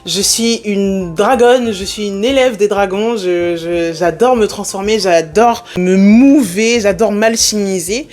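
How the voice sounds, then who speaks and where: A young woman from France talking casually to camera in a lively, animated way, with English words mixed in. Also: medium pitch, a little slow, few pauses, soft background music. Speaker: spiritual content creator.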